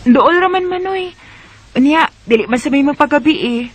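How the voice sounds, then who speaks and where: A woman's voice: high voice, expressive, moderate pace. A woman playing a sweet, cautious schoolgirl and devoted younger sister in a Filipino Bisaya radio drama. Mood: hesitant.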